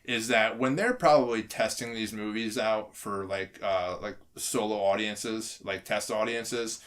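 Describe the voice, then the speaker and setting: A man's voice: on the low side, unhurried, a bit bright. Man hosting a casual group podcast. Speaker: podcast host.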